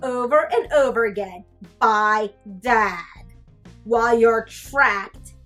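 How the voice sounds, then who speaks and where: A woman reading a storybook aloud as a sassy, easily grossed-out young female cartoon character, with exaggerated, drawn-out disdain. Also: a little high, a bit bright, faint music behind. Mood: disdainful, complaining. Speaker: teacher reading a picture book aloud. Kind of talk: animated children's storybook read-aloud.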